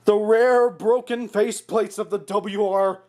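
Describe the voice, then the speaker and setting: A man giving an informal, excitable show-and-tell commentary, often interjecting as he goes. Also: sits high, a little slow. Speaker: video host. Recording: clearly noisy home recording.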